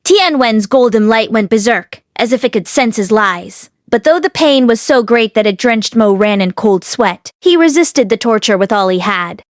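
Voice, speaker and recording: A woman reading a novel aloud as an expressive audiobook narrator. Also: a little high, no echo, studio quality. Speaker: audiobook read-along narrator.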